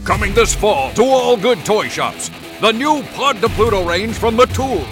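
A man's voice: high-pitched, expressive, moderate pace, loud music behind the voice. A man playing a hyped, melodramatic toy commercial announcer in a grandiose, salesy parody advert.

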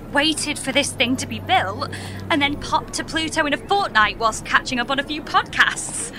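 A woman's voice: high-pitched, noisy background, exaggerated voice. A woman playing an exasperated, naive and incredulous space traveller in an audio comedy drama. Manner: incredulous, indignant, plain-spoken.

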